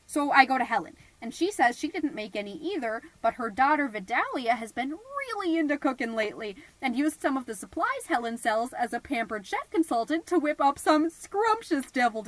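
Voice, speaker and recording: High-pitched, melodramatic suburban mom played by a woman. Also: a bit bright, no echo, heavily acted, rough recording. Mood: brisk, puzzled. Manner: theatrical, pompous, indignant. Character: melodramatic suburban mom, self-important party planner, easily exasperated. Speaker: podcast host character narrating. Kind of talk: comedic scripted monologue, storytelling.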